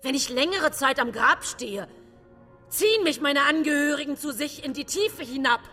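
A woman's voice: high voice, normal speed, bright, forceful tone, soft background music. An actress playing a despairing widow in a radio drama, lamenting her dead as if haunted by their graves. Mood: dread. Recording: long cavernous reverb.

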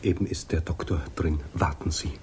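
An actor playing a worried, subdued invalid husband in a 1950s radio drama. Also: male voice, extremely low pitch, fairly even intonation, moderate pace, a little mellow. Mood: hushed, worried. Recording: hissy 1950s radio recording.

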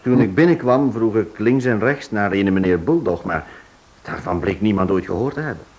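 A man's voice: lowish voice, animated, normal speed, a little mellow, lo-fi sound, close to an everyday voice. An actor playing a cheeky, talkative male servant in a radio detective play, theatrical and colloquial. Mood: aggrieved, dramatic. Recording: hissy old radio play recording.

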